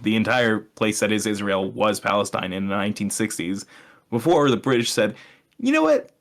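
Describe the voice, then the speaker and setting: A man's voice: on the low side, very animated, moderate pace. A young man chatting casually as a podcast co-host, explaining his opinions in a sardonic way and swearing freely.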